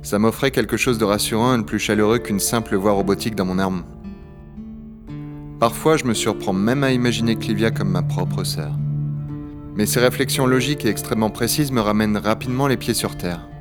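A man's voice: fairly low pitch, brisk, music in the background, lightly acted. A man playing a rugged, wry dragon hunter of a frozen future in a science-fiction audio drama. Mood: wry, fond. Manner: gravely reflective narration, familiar offhand banter. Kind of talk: French audio-fiction acting and first-person narration.

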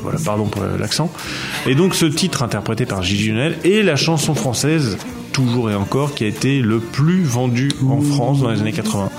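Man, podcast co-host, animated and rambling, mid-pitched voice, music bed behind. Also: moderate pace. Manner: animated, rambling with many fillers. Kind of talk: casual chat.

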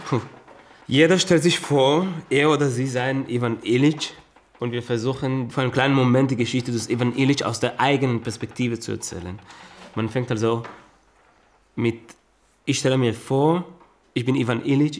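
An actor playing an earnest, searching theatre director who speaks haltingly and insistently in naturalistic dialogue. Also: male voice, medium pitch, a little hiss or hum, natural voice. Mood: coaxing.

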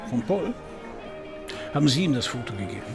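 An actor playing a wry, probing private detective who narrates and questions in a German radio crime drama, dry and laconic, with a gravelly voice. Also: male voice, medium pitch, very animated, a little fast, music in the background. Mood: polite, businesslike. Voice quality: gravelly, creaky.

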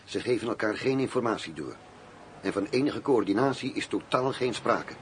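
An actor in a radio drama playing a wry, world-weary undercover agent who poses as a gangster boss. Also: male voice, lowish voice, lively intonation, moderate pace, a little hiss or hum, close to an everyday voice. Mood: measured, concerned.

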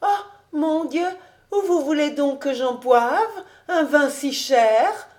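A woman voicing a sweet, shy young country girl with a soft, gentle voice in an audiobook. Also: sits high, lively intonation, slow pace, voice pushed up. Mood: shy, flustered. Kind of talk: audiobook storytelling.

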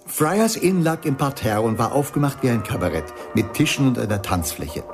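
A man's voice: mid-range voice, big pitch swings, moderate pace, over music. An actor playing a drily amused club jazz musician who tells his memories matter-of-factly in a radio play.

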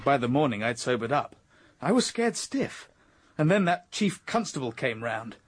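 Rattled, husky young Englishman. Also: medium pitch, big pitch swings, moderate pace. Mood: rueful confession. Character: Basil Blake, rude, rattled young Englishman. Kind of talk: radio drama acting.

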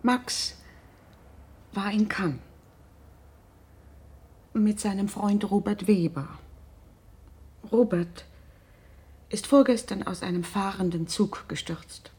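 Husky, reserved wealthy mother. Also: medium pitch, slow pace, pauses often, a little mellow. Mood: subdued. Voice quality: husky, breathy. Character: worried, reserved wealthy mother of a grown missing son. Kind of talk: naturalistic German radio drama.

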